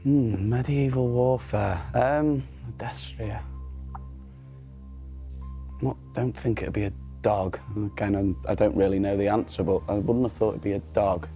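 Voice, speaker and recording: A man's voice: lowish voice, fairly even intonation, a little slow, warm tone, soft background music. A man in his thirties, a quiz contestant thinking aloud hesitantly through his answer. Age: 30s.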